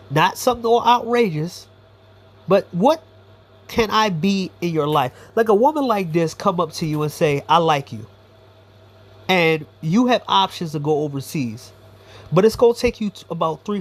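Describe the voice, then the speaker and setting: A man giving a blunt, profane, rambling commentary monologue as a YouTuber. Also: a little high.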